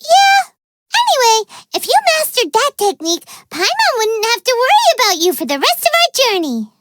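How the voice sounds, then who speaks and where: Super high squeaky lil fairy game sidekick, bubbly n boastful, voice bouncing all over. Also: female voice, normal speed, no echo, clean studio sound, full character voice. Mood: excited, eager. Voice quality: squeaky, childlike. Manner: refers to self in third person, exclamatory, excitable. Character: tiny childlike floating fairy companion, bubbly, boastful, naive. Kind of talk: video game character voice lines.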